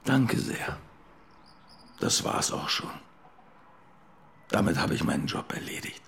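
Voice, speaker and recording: An actor playing a dry, formally polite police detective who talks in a deliberate, insinuating way in a radio drama. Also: male voice, sits low, lively intonation, gravelly, a bit bright, slight background noise, full character voice. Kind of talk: naturalistic German radio drama acting.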